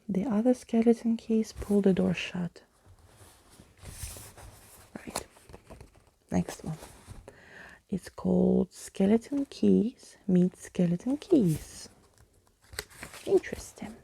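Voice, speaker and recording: A woman reading a bedtime story in a soft ASMR whisper, close to the microphone. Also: mid-range voice, gravelly, a little hiss or hum. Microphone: close microphone, dry.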